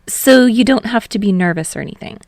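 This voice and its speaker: A woman's voice: on the high side, fairly quick, no room sound, voice pushed up. A woman voicing a bubbly, eager young man, chatty and a little sheepish, as an audiobook character. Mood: chatty, reassuring. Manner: energetic, hopeful, gushing. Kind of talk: fan fiction audiobook character voice.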